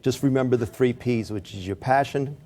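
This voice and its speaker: A man's voice: mid-range voice, a little fast, warm tone. Encouraging male talk show host. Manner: encouraging, frequent short affirmations. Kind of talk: TV interview hosting. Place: television studio.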